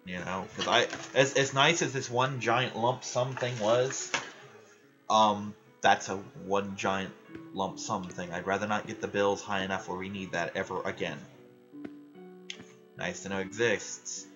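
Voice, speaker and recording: A young man ranting loudly and swearing in casual chat while he plays a video game. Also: lowish voice, steady tone, measured pace, lo-fi sound, over music. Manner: loud, ranting, frequent swearing. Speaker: gaming vlogger.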